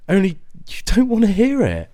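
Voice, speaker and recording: A man's voice: high-pitched, huge pitch swings, normal speed, dark tone. A man playing a frustrated, defensive young husband and new father in a naturalistic audio drama, with a terse, exasperated delivery. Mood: bitter, sarcastic.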